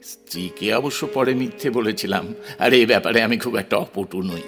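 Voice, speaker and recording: An actor playing a married poet, romantic, conflicted and self-questioning, in an emotive, sometimes halting audio drama style. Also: male voice, lowish voice, a bit bright, lightly acted. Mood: reflective. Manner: theatrical, emotive, halting at times. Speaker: actor and first-person narrator. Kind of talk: Bengali audio drama acting with introspective narration. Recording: music under the voice. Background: background music.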